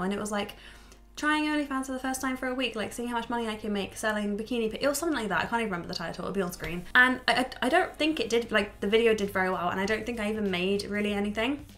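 A woman's voice: on the high side, a bit bright. A woman in her twenties chatting to camera, candid and swearing freely, a little stuffy from a cold, in a small room at home. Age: 20s. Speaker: YouTuber. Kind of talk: casual chat to camera.

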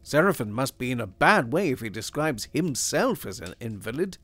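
A man's voice: mid-range voice, very animated, normal speed, fairly bright tone, voice pushed up. Man doing a dry, wry husband. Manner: dry, sardonic.

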